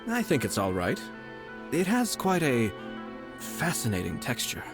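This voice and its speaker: A man playing a composed, courtly and playfully sly young nobleman in a video game, speaking in a measured, polite way. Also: medium pitch, huge pitch swings, over music. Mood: calm, reflective. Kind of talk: game dialogue acting.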